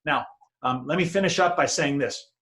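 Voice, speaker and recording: A man's voice: fairly high pitch, normal speed, a bit bright. A man hosting an interview in an informal, conversational way, with frequent filler phrases. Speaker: interview host.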